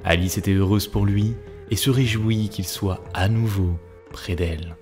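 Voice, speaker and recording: Male narrator, deep voice, scripted storytelling, clear music behind. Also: measured pace.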